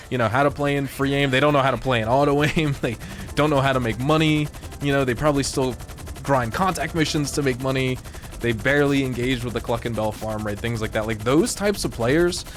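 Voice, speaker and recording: Young guy rambling over a game, kinda scratchy and stuffed up, mid pitch, super laid back. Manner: rambling, conversational, many fillers. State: slightly hoarse and congested. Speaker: gaming YouTuber. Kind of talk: casual commentary over gameplay.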